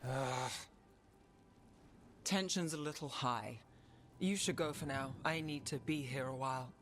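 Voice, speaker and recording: A woman's voice: very deep voice, a little slow, soft background music. A woman playing an anguished, dutiful defender of a fantasy city in a video game, terse and serious. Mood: weary, tense. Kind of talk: video game dialogue acting.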